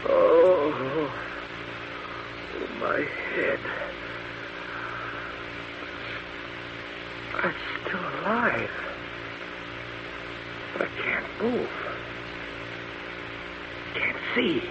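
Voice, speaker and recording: High-voiced, melodramatic space hero. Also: male voice, sing-song, drawn-out words, creaky, pauses often, exaggerated voice. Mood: dazed, anguished. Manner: intense, melodramatic. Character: defiant, desperate captive hero of a space adventure. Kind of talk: old-time radio drama acting. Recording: muffled old radio recording, loud noise.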